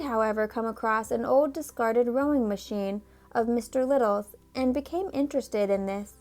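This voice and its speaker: A woman reads a children's book aloud at a measured pace, pausing now and then to talk about the pictures. Her voice sits on the high side, with faint music playing underneath. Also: dark tone. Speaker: storybook reader.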